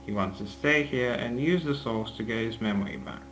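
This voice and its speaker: A man's voice: mid-range voice, animated, normal speed, gravelly, a bit bright, poor audio. A man reading scripted narration aloud as the narrator of a table read, with music playing behind him.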